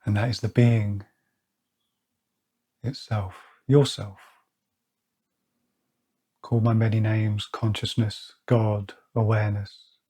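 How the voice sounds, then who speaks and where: A man with a low, mellow voice leads a meditation at an unhurried pace. His delivery stays even and flat, and his tone is slightly husky. He leaves long, quiet pauses between phrases. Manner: slow, soft-spoken, with long pauses. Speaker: meditation guide. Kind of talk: guided meditation. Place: outdoors or by an open window with birds nearby.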